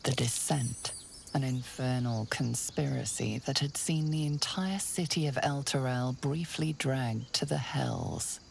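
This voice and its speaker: A grave, gravelly game narrator murmurs in a hushed tone. The voice sits extremely low, with heavy fry running through every phrase. It comes with a faint hiss of ambience. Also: female voice, unhurried, full character voice. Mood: ominous.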